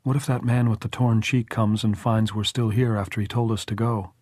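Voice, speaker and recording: A man voicing a gentle, anxious, soft-spoken girl in an audiobook. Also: lowish voice, flat delivery, brisk, no echo, studio quality, natural voice. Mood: worried.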